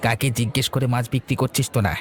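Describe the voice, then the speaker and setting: A man's voice: mid-range voice, fairly even intonation, racing, a faint crowd, a little hiss or hum, natural voice. A man playing an arrogant, spiteful village bully in a fairy-tale cartoon, with a menacing, domineering delivery. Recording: background music throughout.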